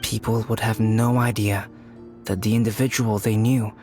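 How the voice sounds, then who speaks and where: An actor voicing a composed, thoughtful young male alchemist in a game, speaking in a calm, measured, soft-spoken way. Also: lowish voice, soft background music, lightly acted.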